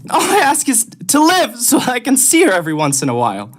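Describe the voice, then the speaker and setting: A man's voice: extremely high pitch, wildly swooping pitch, brisk, exaggerated voice. A young man playing a desperate, bitter condemned prisoner in a vintage radio drama, stammering and overwrought. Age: young adult.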